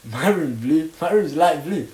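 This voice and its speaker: Brief: young lad hosting a chat, quick and lively, slightly high voice, echoey room with faint hiss. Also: male voice. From Somalia. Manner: animated, laughing, quick-fire banter. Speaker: podcast host. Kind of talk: casual chat.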